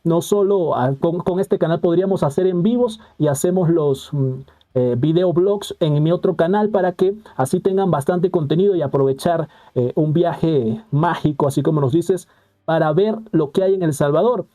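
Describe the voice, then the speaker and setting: A young man hosting a live stream, commenting on a news clip in a halting way with many fillers and restarts, and reading out viewer comments. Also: on the high side, mellow.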